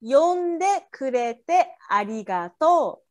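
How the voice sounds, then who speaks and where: Japanese lady w/ japanese accent, high voice, teaching phrases one by one, short casual replies. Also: sing-song, moderate pace. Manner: brief, with frequent small acknowledgements. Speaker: language tutor.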